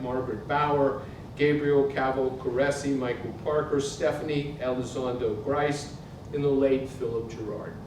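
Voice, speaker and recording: Older American man in a very echoey room. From Pittsburgh, United States. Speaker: author reading from his book. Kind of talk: literary reading to a live audience. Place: indoor museum event space. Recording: very echoey hall, distant mic, noisy rumble.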